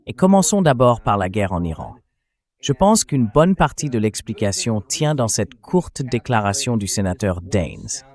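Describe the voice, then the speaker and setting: Man, interview host, low pitch, translated voice-over, measured scripted delivery. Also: very animated, darkish tone. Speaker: show host.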